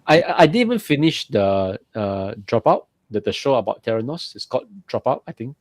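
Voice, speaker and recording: A man co-hosting a podcast in casual chat, animated and jokey, often repeating words. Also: mid-range voice, brisk, no echo. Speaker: podcast co-host.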